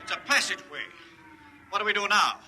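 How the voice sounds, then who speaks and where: A man's voice: high-pitched, animated, fast talker, pushed and bright, heavily acted. An actor playing a tense male scientist trapped in an undersea vessel, in 1950s radio drama style. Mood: uneasy. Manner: anxious, pressing questions. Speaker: doctor diving with a general. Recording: hissy old radio broadcast with echo.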